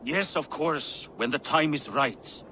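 An actor playing a cautious aging Viking king and father, measured and placating. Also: on the high side, pushed and bright, a little hiss or hum. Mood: welcoming. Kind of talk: video game cutscene acting.

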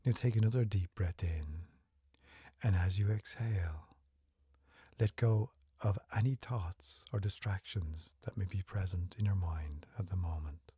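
A man leads a guided meditation in a low, mellow voice that is heavily breathy and often close to a whisper. His delivery is slow, gentle and soothing, with unhurried phrasing throughout. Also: dry recording.

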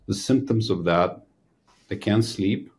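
A man in his sixties, born in Ukraine, hosting an interview in a small studio on a desk podcast mic, explaining in an animated way. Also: lowish voice, takes it slowly. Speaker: physician host. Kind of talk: interview conversation. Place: small studio room with a banner.

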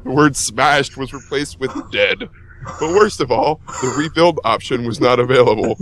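A man's voice: mid-range voice, lively intonation, normal speed, a bit bright, a little hiss or hum, rough recording. A man narrating a horror story with exaggerated drama, for laughs. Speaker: reader of a creepypasta. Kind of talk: comedic dramatic reading.

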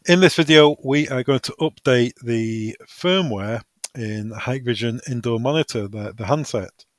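A man working as a technical trainer, talking through a software tutorial step by step in an informal, hesitant way, as if thinking aloud. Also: mid-range voice, unhurried, no echo.

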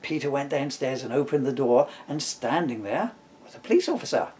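A man's voice: medium pitch, expressive, brisk, a little mellow, a little hiss or hum. A man in his fifties reading a comic storybook aloud in a playful way, with wry asides. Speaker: author reading from own comic book. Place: living room sofa at home. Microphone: camera a short distance away.

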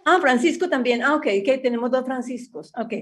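A woman with a high-pitched voice takes part in a group video call. She speaks in a casual, conversational way. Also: a little fast. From has lived in several countries, last Venezuela. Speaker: workshop participant.

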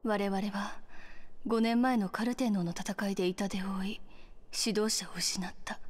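A woman's voice: medium pitch, steady tone, unhurried, husky. A woman voicing a poised scholar in a Japanese video game, composed and formal. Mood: grave.

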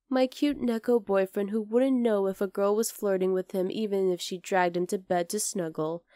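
A woman's voice: medium pitch, steady tone, measured pace, dark tone, no echo, studio quality, lightly acted. A woman playing a young wolf-girl girlfriend in an intimate ASMR roleplay, affectionate, teasing and coaxing. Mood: fond teasing. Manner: cooing, playful, coaxing.

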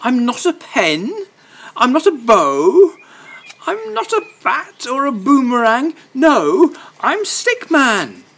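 A man's voice: very high voice, sing-song, slow, creaky, a bit bright, a little hiss or hum, pitched above the performer's own voice, heavily acted. A man voicing an indignant, pleading little stick creature in a children's storybook, chanting plaintive protests.

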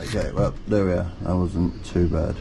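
A middle-aged man talks through his boat repairs to a close handheld camera, his voice on the low side. He rambles practically and teases himself for waffling. Workshop noise is clearly audible around him. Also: steady tone, normal speed. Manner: rambling, self-deprecating, practical explaining. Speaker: boat renovation vlogger. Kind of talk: casual vlog chat. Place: inside a boat cabin under renovation. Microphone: handheld wide-angle camera close up. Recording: noisy boat workshop ambience.

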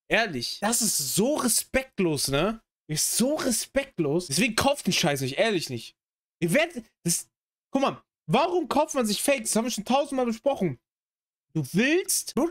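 A man's voice: high voice, fairly bright tone. A young man giving casual, opinionated reaction commentary in emphatic slang peppered with English phrases. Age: young adult. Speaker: reaction video host. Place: small home gaming room.

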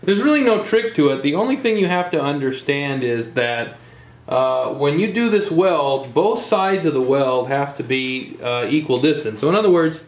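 A man's voice: a little high, lively intonation, normal speed. A welding instructor explains a weld step by step in his workshop and speaks to the viewers at home. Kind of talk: on-camera workshop demonstration. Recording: roomy workshop sound.

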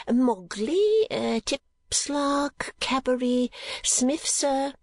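A fussy, vain gentlewoman of middle age from a storybook. She rambles on with affected self-importance, her voice lifted high and swinging widely in pitch. The sound is close and dry. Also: animated, very slow, dry recording, exaggerated voice. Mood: complacent, rambling. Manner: garrulous, affected, digressive.

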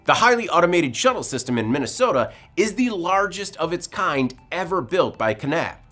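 A man in his forties presenting scripted news narration in a measured, emphatic way with dry asides. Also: a little high, big pitch swings, bright, forceful tone. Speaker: video news presenter, editorial director. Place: indoor studio. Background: music.

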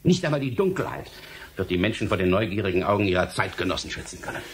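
A low-voiced, stern, exasperated official. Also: male voice, big pitch swings, quick pace, slightly rough, full character voice. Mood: indignant, warning. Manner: rhetorical, emphatic, theatrical. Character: stern, exasperated government official lecturing with grave authority. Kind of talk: German radio play acting.

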